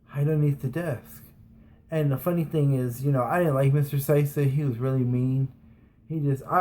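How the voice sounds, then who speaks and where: Rambling storyteller man, slightly high voice. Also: darkish tone. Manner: rambling, frequent restarts and fillers, laughs while telling. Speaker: vlogger. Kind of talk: casual storytelling.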